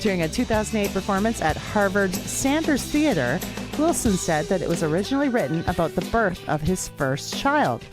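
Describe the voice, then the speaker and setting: A woman's voice: mid-range voice, very animated, normal speed. A woman podcast host reading a scripted band biography. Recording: music playing behind voice. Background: guitar music.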